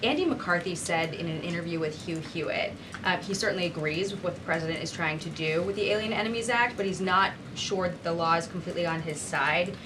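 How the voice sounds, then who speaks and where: Reporter: woman with a lowish voice, posing questions at a briefing, noisy room behind her. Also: brisk, hardly any pauses. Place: indoor press briefing room.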